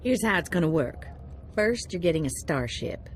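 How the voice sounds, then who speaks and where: An actress playing an upbeat, streetwise female handler who briefs a hunter in a brisk, breezy way. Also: on the low side, very animated, slight background noise.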